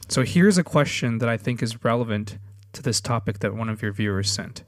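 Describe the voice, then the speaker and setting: A man in his thirties co-hosting a casual conversation, speaking in a measured, thoughtful and slightly hesitant way. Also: fairly low pitch, lively intonation, no room sound, clean studio sound. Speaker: podcast co-host.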